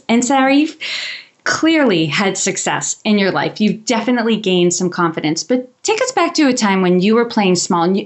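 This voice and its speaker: A woman hosting an interview podcast, speaking in an upbeat, affirming way. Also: medium pitch. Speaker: podcast host.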